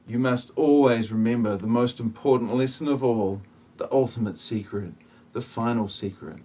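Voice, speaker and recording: A man playing a solemn, grandiose messianic figure who judges humanity, speaking in a husky, stilted, portentous lecturing manner. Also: mid-range voice, moderate pace, dark tone, natural voice.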